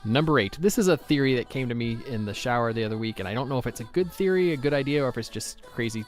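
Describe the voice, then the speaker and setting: A man's voice: mid-range voice, lively intonation, fast, faint music behind. A man hosting a light-hearted quiz interview in a jokey, conversational way. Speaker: podcast host.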